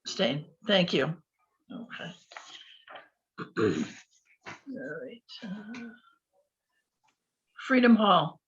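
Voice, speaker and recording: A woman on a committee who is losing her voice, reporting at a meeting with many fillers and throat-clearing. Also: medium pitch, sing-song, extremely slow, pauses often, a little hiss or hum. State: hoarse, losing voice. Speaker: committee member. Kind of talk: meeting discussion. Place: public meeting room. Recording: dull lo-fi meeting recording.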